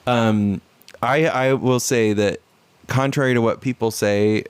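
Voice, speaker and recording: A man's voice: medium pitch, steady tone, measured pace, clean tone. A man co-hosting a podcast, chatting casually and jokingly, dropping in French phrases. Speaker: podcast co-host. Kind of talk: casual chat.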